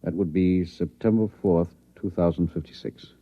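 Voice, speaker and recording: An old, narrow-band radio recording carries a head of state. His voice is very deep and deliberate, full of authority with small hesitations, in the manner of a 1950s science-fiction radio play. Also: fairly even intonation. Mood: stunned. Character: weighty, decisive president of a future nation. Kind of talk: 1950s radio drama acting. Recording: thin band-limited vintage radio recording.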